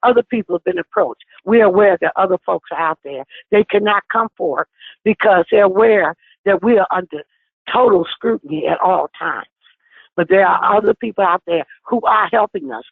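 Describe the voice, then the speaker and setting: A woman in her sixties calling in to a conference call, talking forcefully and insistently. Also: medium pitch, moderate pace, creaky, no echo. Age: 60s. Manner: forceful, insistent, talks over others. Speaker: caller on an activist conference call. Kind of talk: impassioned phone call. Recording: tinny phone line.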